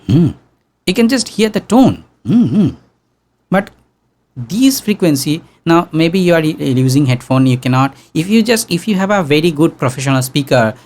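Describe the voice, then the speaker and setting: A young man teaching sound engineering in an online workshop, talking casually and humming low tones to demonstrate, close to a studio mic in a small room. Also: darkish tone. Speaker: audio engineering instructor. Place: small room with green backdrop. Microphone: studio condenser mic on boom arm.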